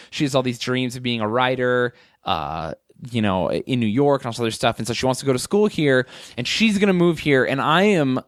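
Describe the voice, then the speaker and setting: A man's voice: mid-range voice, no echo, studio quality. A man in his late twenties, a guest comedian telling his story in a candid, rambling, self-mocking way during a casual interview. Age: 20s.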